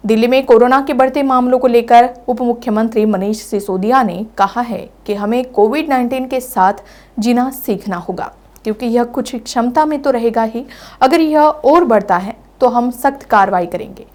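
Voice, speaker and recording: A woman's voice: on the high side, normal speed, a little mellow. A woman anchoring a news bulletin, reading from a script.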